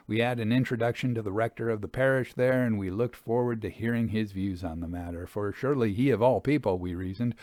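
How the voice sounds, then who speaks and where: A man's voice: medium pitch, darkish tone, no room sound. Man reading a story, dry close sound. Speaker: audiobook reader. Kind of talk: scripted literary narration of a Victorian travel memoir.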